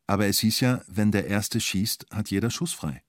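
Low-voiced male documentary narrator. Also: normal speed, dry recording, clean studio sound.

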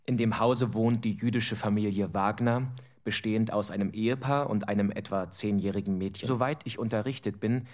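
An actor playing an officious Nazi-era official, formally reading out letters in a documentary radio play. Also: male voice, on the low side, fairly even intonation, fairly quick, a little mellow, close to an everyday voice. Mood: officious, cold.

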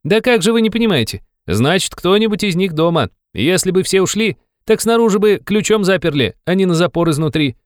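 A man voicing an excited, quick-witted very young man with a ringing, eager way of explaining in an audiobook of a classic novel. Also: high-pitched, clean tone, no room sound, studio quality, voice pushed up. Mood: excited, insistent.

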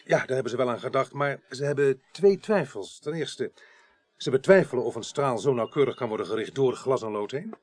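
An actor plays a senior police detective in a radio crime drama. He is practical and cautious, feeling his way through sentences in a conversational, medium-pitched voice. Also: lively intonation, fairly quick, darkish tone, no echo, natural voice. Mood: practical, hesitant. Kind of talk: Dutch radio play acting.

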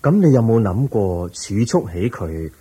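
A man acting a calm, patient, wise teacher in a radio drama, measured and gently instructive, with a Hong Kong Cantonese accent. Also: lowish voice, slightly rough, exaggerated voice.

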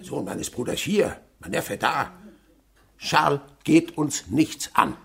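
A man's voice: fairly high pitch, animated, takes it slowly, creaky, fairly bright tone, husky, exaggerated voice. An actor playing a miserly, callous old father in a German radio play, blunt, scornful and domineering. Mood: scolding. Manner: blunt, scornful, lecturing, abrupt swings in delivery.

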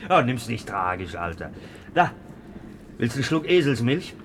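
An actor playing a cocky, teasing young prodigal son in a radio play, brash and sardonic. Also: male voice, medium pitch, sing-song, normal speed, natural voice. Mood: teasing, mocking. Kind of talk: German radio play acting. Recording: noisy old radio recording.

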